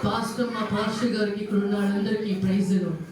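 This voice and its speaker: A friendly uncle puppet voiced by a performer greets everyone and quizzes children with simple questions in a live show. The voice sounds distant in a very echoey hall, over clear crowd noise. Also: medium pitch, level tone, rapid-fire, lightly acted. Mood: friendly greeting.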